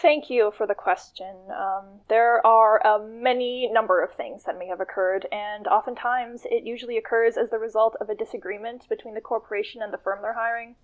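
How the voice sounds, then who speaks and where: A woman acts out a self-conscious businesswoman in a radio play. Her voice sits slightly high, and she talks haltingly and wryly, often correcting herself. The recording is a bit dull and lo-fi. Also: steady tone, lightly acted. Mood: guarded, hedging. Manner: halting, self-interrupting, wry. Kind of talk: radio drama acting, halting confessional monologue.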